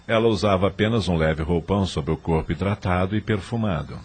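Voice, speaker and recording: Deep-voiced man narrating an audio novel. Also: a little fast, darkish tone, husky.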